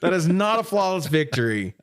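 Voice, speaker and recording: A man hosting a casual film review podcast, recapping in an animated, profane way with frequent laughter. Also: a little high, fairly quick. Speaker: podcast host reviewing films. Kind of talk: casual recap and banter between friends.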